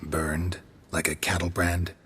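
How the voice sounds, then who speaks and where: An actor playing a stoic, terse monster hunter in a fantasy game, speaking in clipped, matter-of-fact sentences. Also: male voice, low-pitched, level tone, slow, fairly bright tone, faint music behind. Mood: businesslike. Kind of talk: video game character acting.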